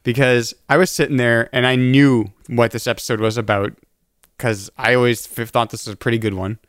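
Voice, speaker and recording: A man's voice: mid-range voice, flat delivery, fairly quick, clear, resonant tone, dry recording, clean studio sound. A man co-hosting a casual chat podcast about a TV episode, reading notes aloud with stumbles and restarts. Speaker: podcast co-host.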